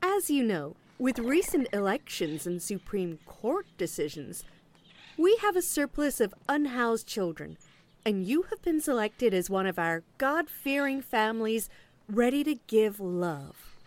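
A woman's voice: a little high, very animated, a little slow. A woman playing a relentlessly upbeat, over-polite government agent in an audio play. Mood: bright, officious. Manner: over-polite, saleswoman-like cheer.

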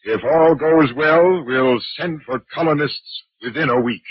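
An actor playing a confident, imperious alien invasion commander in a 1950s radio drama, with clipped, formal military speech. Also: male voice, fairly high pitch, unhurried, breathy edge. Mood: commanding. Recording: muffled vintage 1950s radio broadcast.

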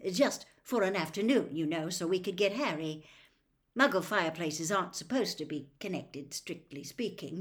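A woman's voice: fairly low pitch, close to an everyday voice. A woman voicing a kindly, muddled middle-aged wizard father in an audiobook, eager and apologetic.

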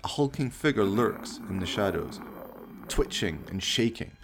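A man's voice: on the low side, lively intonation, a little slow, noisy background. A man giving theatrical, wry scripted narration for a horror radio play. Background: music.

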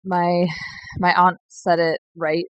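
A young woman sharing a slow, heartfelt personal reflection in a recorded message. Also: lowish voice, little pitch movement, no room sound, highs cut off. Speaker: seed collective member.

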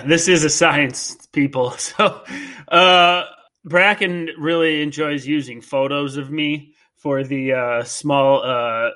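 A man's voice: a little high, bright, forceful tone. A man in his thirties with a Midwestern American accent, interviewing his co-host in a casual podcast, rambling with many fillers and restarts. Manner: rambling, hesitant, filler-heavy.

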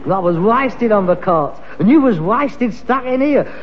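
Casting: blustering, exasperated London sitcom son, very animated pitch, noisy vintage recording. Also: male voice, unhurried, long reverb tail, muffled recording. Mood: smug, pompous. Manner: pompous, blustering delivery. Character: pretentious, defensive, easily exasperated grown son in a sitcom. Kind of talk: vintage British sitcom acting.

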